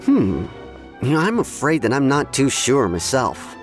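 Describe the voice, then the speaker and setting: A man's voice: mid-range voice, wildly swooping pitch, a little slow, faint music behind. A man playing an easygoing townsman in a video game, sharing gossip in a conversational, hedging manner. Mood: thoughtful. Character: easygoing, watchful townsman passing on gossip, measured.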